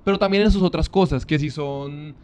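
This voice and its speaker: Brisk Colombian man with a Bogotá accent. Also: on the high side, clear, resonant tone. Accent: Bogotá Colombian Spanish. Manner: relaxed, easygoing, leans on "pues" as a filler. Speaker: podcast guest. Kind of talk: casual podcast interview.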